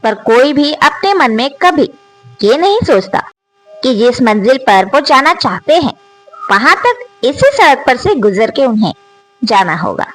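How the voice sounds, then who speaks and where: Slow earnest woman, lively pitch. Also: rough recording. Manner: slow, earnest, questioning, rising on rhetorical questions. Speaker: storyteller and channel host. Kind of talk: reflective moral monologue.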